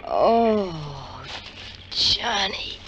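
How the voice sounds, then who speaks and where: Slow-talking American femme fatale. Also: female voice, medium pitch, sing-song, dull audio. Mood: sultry, sulky. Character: bored, scheming seductive femme fatale wife. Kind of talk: 1950s radio drama acting. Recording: noisy vintage radio recording.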